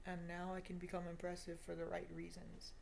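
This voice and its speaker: A woman's voice: lowish voice, monotone, fairly quick, vocal fry, a little mellow, slight background noise. A woman of twenty giving a confessional video diary monologue in an emphatic, repetitive, self-deprecating way. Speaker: vlogger.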